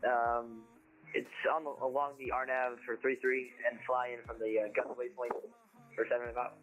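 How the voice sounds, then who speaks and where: A man's voice: mid-range voice, flat delivery, normal speed, a bit bright, soft background music, low-quality recording. A man speaking as a pilot making casual, somewhat hesitant calls to air traffic control over a radio link. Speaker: virtual pilot.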